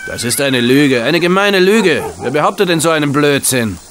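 Man acting gruff, hostile stable boss. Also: fairly high pitch, animated, moderate pace, noisy background, lightly acted. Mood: hostile, indignant. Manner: brusque, bossy, indignant. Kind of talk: German audio drama acting.

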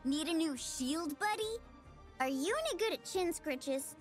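A woman's voice: sits high, animated, a little slow, soft background music. A woman voicing a small, playful, sassy magical cat in a video game. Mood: eager. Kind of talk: video game character voice lines.

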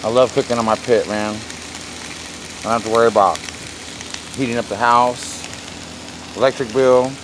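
A man's voice: mid-range voice, fairly even intonation, unhurried, pauses often. A man cooking on a backyard grill, talking casually into a handheld phone camera with laid-back slang and hyped asides. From Texas. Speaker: home cook. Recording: loud sizzle and yard noise. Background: loud sizzling and outdoor noise.

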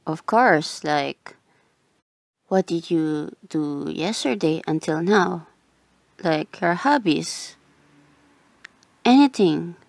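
A woman's voice: lowish voice, lively intonation, drawn-out words, gravelly, long pauses, dry recording, heavily acted. A woman voicing a flustered, blunt tsundere childhood friend in an ASMR roleplay, hesitant and often dropping into whispers. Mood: nervous.

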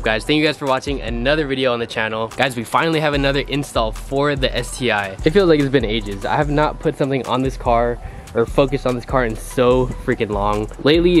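A young man chats casually to his camera in a driveway, upbeat and calling his viewers "guys". His voice is mid-pitched and a little nasal from a cold. Music plays under him. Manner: upbeat, says "guys" a lot. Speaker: car vlogger. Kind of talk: casual vlog chat. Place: driveway and garage, outdoors.

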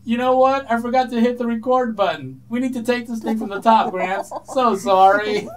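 High-voiced, hesitant elf sound engineer, played by a man. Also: normal speed. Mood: needling. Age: middle-aged. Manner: hesitant, stammering, deadpan needling. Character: mischievous male elf sound engineer, falsely apologetic. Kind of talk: casual comedy sketch dialogue. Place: studio control room.

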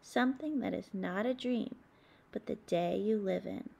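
A woman reading aloud as a children's book host, in deliberate, expressive phrasing meant for young listeners. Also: mid-range voice, vocal fry. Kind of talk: read-aloud storybook narration with discussion questions.

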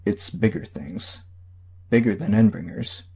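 A man's voice: on the low side, lively intonation, a little slow, creaky, warm tone, slightly rough, exaggerated voice. A man voicing a secretive, matter-of-fact superhero in an audiobook. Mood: grave.

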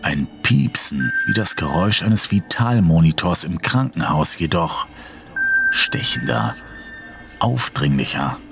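Casting: low-voiced male actor as weary, haunted first-person horror narrator, dramatic delivery, music underneath. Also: big pitch swings, unhurried, creaky, heavily acted. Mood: weary, brooding. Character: sleepless, brooding man haunted by a mysterious hole.

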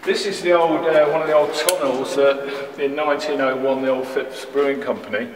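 A middle-aged head brewer giving a walking tour in plain, unhurried speech with fillers, filmed on a camera held close by. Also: male voice, mid-range voice, steady tone, a crowd in the background. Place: large echoey brewery building, indoors. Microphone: handheld camera close by, following him. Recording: echoey rooms with air-conditioning hum. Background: air-conditioning hum.

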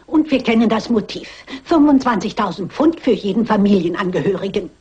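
An older actress playing a shrewd, stubborn elderly lady sleuth in a crime radio play, brisk, dignified and self-assured. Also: medium pitch, expressive, a little mellow, breathy edge, highs cut off. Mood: determined.

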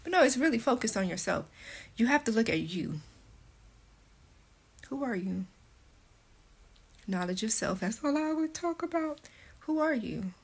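A woman's voice: fairly high pitch, expressive, a little slow, long pauses, slightly rough. Candid woman vlogging from her kitchen. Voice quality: speech impediment. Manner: candid, blunt, casual with cursing. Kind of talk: casual storytelling to camera. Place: kitchen and living room at home, indoors. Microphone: phone camera held close.

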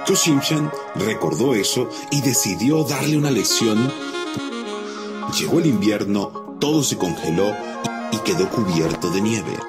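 A man narrating a children's animated folk tale as a storyteller. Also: on the low side, a little slow. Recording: music under the voice.

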